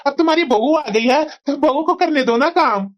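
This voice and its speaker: High, boyish young husband voiced by a woman. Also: normal speed, dull audio, natural voice. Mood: easygoing. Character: mild, obedient newly married young adult son. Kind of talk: Hindi family cartoon voice acting.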